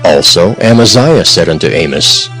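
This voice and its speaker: Low-voiced male scripture narrator. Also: expressive, moderate pace, a bit bright, faint music behind.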